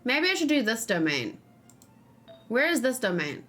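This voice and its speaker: A woman gaming streamer chatting casually with viewers, thinking out loud as she plays and breaking into animated exclamations. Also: fairly high pitch, moderate pace. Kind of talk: casual live game commentary, chatting with viewers.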